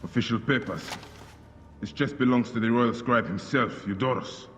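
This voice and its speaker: A man's voice: medium pitch, moderate pace, fairly bright tone, highs cut off, lightly acted. An actor playing a solemn, intense Egyptian warrior and grieving father out for vengeance in a video game. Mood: intent, investigative. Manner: intense, solemn, ritualistic in speech. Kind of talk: dramatic game cutscene acting. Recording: long reverb, clear background noise.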